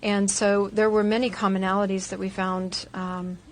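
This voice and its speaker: A Cherokee woman in an interview clip, calmly listing points in a measured way. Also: medium pitch, slight background noise. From Cherokee nation, North America.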